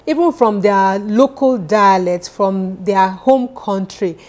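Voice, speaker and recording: A woman's voice: medium pitch, slow pace, darkish tone. A woman from Nigeria in her thirties with a Nigerian accent, giving earnest, encouraging advice straight to camera from her living room sofa. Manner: earnest, encouraging, repeats key points. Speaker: vlogger for immigrants. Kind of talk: direct-to-camera advice vlog.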